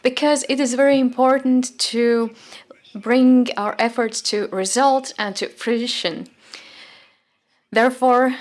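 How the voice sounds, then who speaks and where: Give me a woman relaying a formal press briefing at a middle pitch, steady and measured, pausing now and then on a filler. Her delivery is even and businesslike, keeping pace with the speaker. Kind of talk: formal press conference speech.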